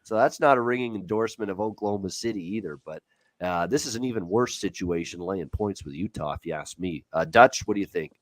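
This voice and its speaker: A man's voice: low voice, lively intonation, a little fast, no echo. A man hosting a sports betting show, giving his opinions in casual, conversational commentary.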